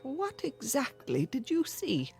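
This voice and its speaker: A woman's voice: high voice, huge pitch swings, slow, creaky, a little mellow, exaggerated voice. An actress playing a worried older mother in a radio drama, anxious, chiding and pleading. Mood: weary, chiding. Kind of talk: old-time radio drama acting. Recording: radio drama with music underneath.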